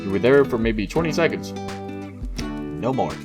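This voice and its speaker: A man's voice: mid-range voice, very animated, normal speed, music in the background. A man doing a casual, mocking read-aloud with exasperated, sweary asides. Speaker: host reading a fan fiction aloud with commentary.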